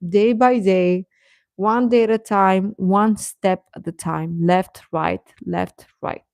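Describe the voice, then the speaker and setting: A woman's voice: medium pitch, steady tone, takes it slowly, gravelly, darkish tone, no room sound, clean studio sound. A woman chatting casually on a livestream, giving earnest, encouraging advice and repeating phrases for emphasis in a reassuring way. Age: adult. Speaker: live streamer giving advice to teenage viewers.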